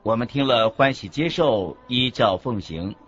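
A man's voice: mid-range voice, expressive, a little slow, husky. A man reading a scripted religious teaching as the narrator of a radio lecture. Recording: dull lo-fi audio with background music.

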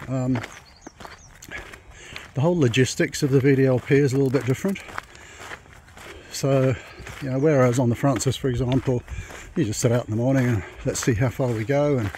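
A man's voice: mid-range voice, steady tone, fairly quick, dark tone. Man thinking aloud on a walk outdoors. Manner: rambling, thinking aloud with restarts. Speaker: vlogger walking a pilgrimage route. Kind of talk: casual walking vlog. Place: outdoors on a rural dirt track. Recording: noisy outdoor walking recording. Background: rural outdoor noise.

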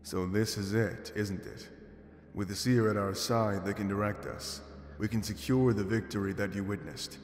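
A man's voice: fairly low pitch, fairly even intonation, normal speed, natural voice. A man playing a skeptical, weary wolf-like mercenary fighter in a fantasy video game. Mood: resolute. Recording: echoey reverb over game music.

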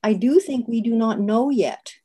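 A woman's voice: a little high, moderate pace, warm tone, slightly rough. An older woman speaking on a video call from a book-lined office, a panel guest talking in a thoughtful, searching way with many restarts. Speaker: artist and psychiatrist guest. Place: home office with bookshelves. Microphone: video call webcam.

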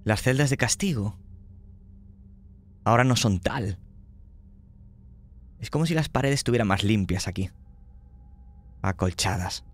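A man narrating a tabletop horror game as game master, speaking conversationally and checking in with his players. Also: fairly low pitch.

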